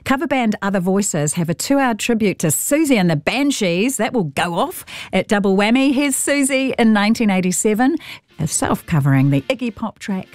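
A woman's voice: medium pitch, lively intonation, moderate pace, dry recording. New Zealand woman radio host, dry studio. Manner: warm, chatty, mixes in Māori words. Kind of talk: live radio hosting, reading listener texts. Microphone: studio microphone.

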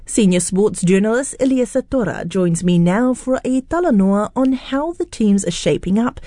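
A woman's voice: mid-range voice, animated, normal speed, mellow, no room sound. A woman presenting a scripted sports news introduction.

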